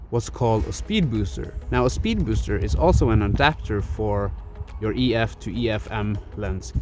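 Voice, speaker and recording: A man's voice: medium pitch, darkish tone. A man from Norway with a Norwegian accent, a non-native English speaker who explains camera gear carefully and step by step, recorded on a phone. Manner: careful, step-by-step explaining with deliberate word choice. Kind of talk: explanatory tech vlog. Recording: vlog with music underneath.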